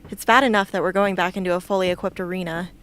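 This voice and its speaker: A woman's voice: on the low side, fairly even intonation, fast talker, fairly bright tone, natural voice. A woman playing a determined, defiant teenage girl soldier in an amateur radio drama. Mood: resolute. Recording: faint background noise and ambience.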